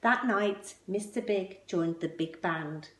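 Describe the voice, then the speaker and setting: Slow-paced woman reading a storybook aloud. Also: mid-range voice. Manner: measured, emphatic phrasing with pointed pauses. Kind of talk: expressive storybook read-aloud for children. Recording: roomy, echoing indoor sound.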